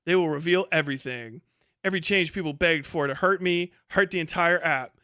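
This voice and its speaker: A man's voice: a little high, brisk, sharp tone, clean tone, no room sound, studio quality. A man hosting a livestream with casual reaction commentary, talking and reading aloud in a blunt, mocking, profane way. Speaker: livestream host.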